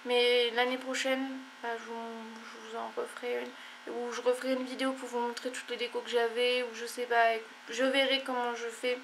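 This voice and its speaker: Young female vlogger, congested and tired, meandering delivery, flat intonation. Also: a little high, a little fast, background noise. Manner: rambling, many fillers and apologies. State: stuffy, congested. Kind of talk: casual chat to camera.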